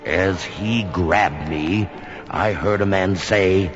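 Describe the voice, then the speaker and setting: An actor playing a haunted, melodramatic man who tells a ghoulish horror tale with theatrical pauses and repetitions. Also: deep voice. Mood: suspenseful. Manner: theatrical, halting repetitions for suspense. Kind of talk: dramatic horror storytelling. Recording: dull recording under loud music.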